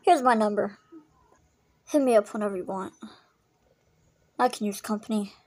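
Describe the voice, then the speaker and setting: A woman's voice: mid-range voice, moderate pace, a little mellow, natural voice. A woman playing a friendly, forward young woman with a casual, direct way of talking, in an amateur acted story.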